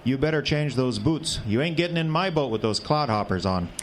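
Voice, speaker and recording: A crusty old northwoods uncle acted by a man. He is folksy and teasing, his pitch swinging freely, in a radio play with faint background noise. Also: expressive, brisk, natural voice. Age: older. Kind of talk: radio drama acting.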